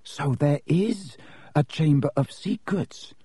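A man's voice: fairly high pitch, sing-song, measured pace, dark tone, slightly rough, no echo, studio quality, exaggerated voice. A man voicing a young schoolboy in a storybook, suspicious, indignant and questioning. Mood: urgent, questioning.

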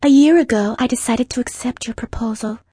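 An actress playing a poised, skeptical film star with a pert Cockney accent in dramatized audiobook dialogue. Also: female voice, a little high, brisk, warm tone, husky, no room sound, studio quality. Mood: hesitant. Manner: pert, challenging.

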